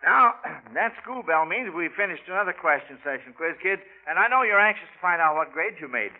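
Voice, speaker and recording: A man's voice: high voice, lively intonation, brisk. An American man hosting a 1940s radio quiz, talking in a folksy schoolmaster manner to children. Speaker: quiz show host. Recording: tinny, band-limited old radio recording.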